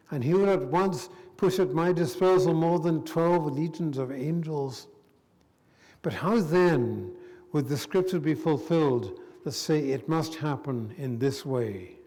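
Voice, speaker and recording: Minister guy reading a bible part out loud, voice on the high side, long church reverb. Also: measured pace. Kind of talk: dramatised scripture reading.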